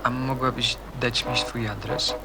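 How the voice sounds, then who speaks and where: A man's voice: medium pitch, little pitch movement, slow pace, music in the background, lightly acted. An actor playing a smitten, intense young man in a radio play, earnest and sparing with words. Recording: echoey reverberant space, faint noise.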